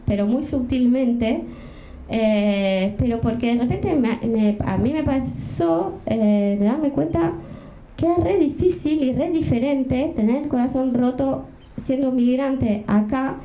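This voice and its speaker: A hesitant middle-aged woman from France, talking on a panel with a handheld mic, her voice fairly high and very mellow. The sound is roomy, with the echo of an event hall. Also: measured pace. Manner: hesitant, searching for words. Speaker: panelist, writer. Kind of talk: panel discussion.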